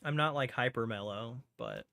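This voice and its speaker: A man streaming a game and chatting casually with viewers in a soothing, calm voice, rambling with lots of "like" and "you know". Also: mid-range voice, moderate pace, vocal fry, no room sound, clean studio sound. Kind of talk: casual chat with stream viewers while gaming.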